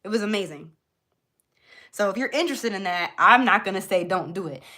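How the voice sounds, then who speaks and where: A woman's voice: medium pitch, animated, brisk, sharp tone. A woman hosting a live beauty tutorial in an informal, chatty way, speaking directly to her viewers. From born in Jamaica, grew up in Florida, US. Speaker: beauty livestream host.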